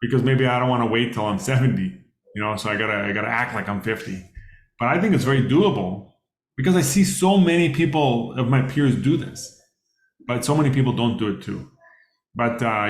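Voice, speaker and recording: A man in his thirties giving a casual finance workshop, talking conversationally with fillers and questions to the audience. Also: medium pitch. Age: 30s. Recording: roomy echo.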